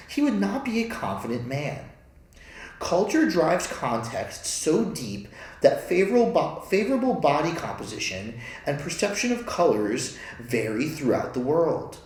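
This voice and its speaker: A man reading his own written manual aloud as scripted narration, in a formal, deliberate way. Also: mid-range voice. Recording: echoey, reverberant room.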